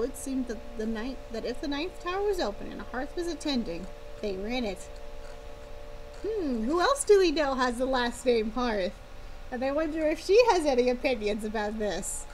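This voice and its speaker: A woman's voice: on the high side, lively intonation, moderate pace. A woman reading a story aloud on a livestream, breaking off for playful, casual asides. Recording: clear background noise.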